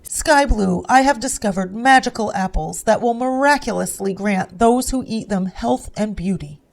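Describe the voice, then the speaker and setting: A woman's voice: medium pitch, moderate pace, vocal fry, natural voice, low-quality recording. A woman voicing a vain, scheming evil queen in a storybook parody, with a calculating manner. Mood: falsely sweet.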